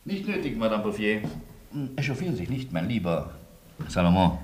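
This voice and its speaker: An actor playing a courteous, ironic Polish-born refugee and sunny survivor in a German radio drama. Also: male voice, lowish voice, big pitch swings, a little fast, darkish tone. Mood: wry, courteous.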